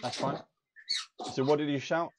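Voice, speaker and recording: A man narrating as game master in a relaxed, meandering way, in dull lo-fi audio with faint hiss. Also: a little high, moderate pace.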